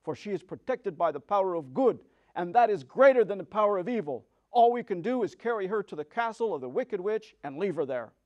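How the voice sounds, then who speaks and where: Storybook creature: man as big leader of flying monkeys, dutiful, firm declamation, somewhat high voice. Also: expressive, fairly quick, hardly any pauses, dull audio. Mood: solemn. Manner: formal, declamatory.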